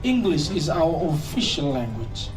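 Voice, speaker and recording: A presenter from Fiji addresses a live audience, trading light jokes before settling into storytelling. The voice sits at a middle pitch and comes from some distance away from the mic. Clear music plays behind the talk. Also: big pitch swings, a little slow. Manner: joking with the crowd, then storytelling. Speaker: cultural show presenter. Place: live show venue with audience.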